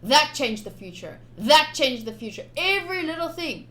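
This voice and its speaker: A woman's voice: high voice, very animated, normal speed, bright, forceful tone. A young woman streaming a game, informal and chatty, switching between casual commentary and reading aloud.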